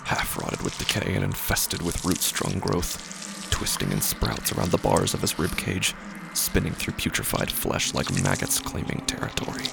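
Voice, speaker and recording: A deep-voiced man with a gravelly creak narrates scripted horror. His delivery is grave and dramatic, dropping toward breathy near-whispers between deliberate pauses. A noisy ambient bed with dripping water sits behind him. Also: sing-song.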